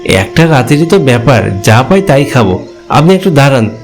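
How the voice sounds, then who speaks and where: A man playing a hungry, stranded village traveler, telling his ghost story in expressive, polite, conversational first-person narration and dialogue. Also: medium pitch, moderate pace, faint music behind. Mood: polite, insistent.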